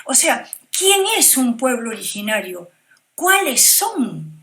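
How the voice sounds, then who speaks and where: A woman's voice: fairly high pitch, sing-song, slow, fairly bright tone. A woman with a Peruvian Spanish accent giving opinions as an academic interview guest, reflective and often checking for agreement. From Peru. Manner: reflective, often seeks agreement with tag questions.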